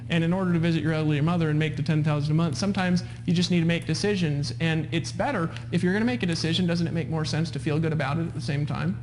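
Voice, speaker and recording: A man's voice: on the high side, steady tone, racing, few pauses, darkish tone, slight background noise. A man hosting a live webinar as a sales coach, persuasive, repeating himself and checking in with questions. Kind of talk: live webinar sales pitch, talking with callers.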